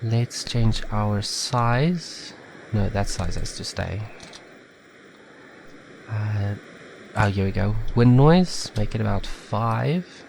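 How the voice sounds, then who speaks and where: A man with a slightly nasal, stuffed-up voice from a head cold, giving a relaxed, chatty step-by-step software tutorial. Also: fairly low pitch, slow, creaky, slight background noise. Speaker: tutorial maker. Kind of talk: casual screen-recorded software tutorial.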